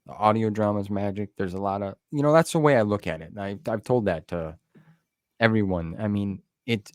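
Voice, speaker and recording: A man with a low voice and an American accent chats as an interview host. His manner is effusive and folksy, full of enthusiasm. The recording is dry, with hardly any room sound. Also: fairly quick, no room sound. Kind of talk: casual interview chat.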